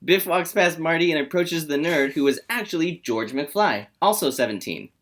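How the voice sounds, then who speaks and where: A man's voice: on the high side, animated, moderate pace, a bit bright. A man reads scene descriptions and stage directions from a script as narrator. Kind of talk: scripted narration, screenplay read aloud.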